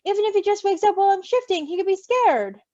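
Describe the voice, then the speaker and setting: A woman's voice: very high voice, animated, fast talker, dark tone, no room sound, voice pushed up. A woman voicing an anxious, protective male werewolf in a story reading, worried and earnest. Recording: dull lo-fi recording.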